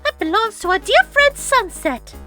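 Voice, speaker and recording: A ladylike cartoon teenage girl. Her very high voice swoops primly over clear background music. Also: lively intonation, moderate pace, sharp tone, exaggerated voice. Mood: indignant.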